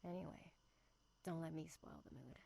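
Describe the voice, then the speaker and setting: A woman's voice: sits low, normal speed, creaky, mellow. A woman playing a bitter, sarcastic, guarded young woman in a visual novel game.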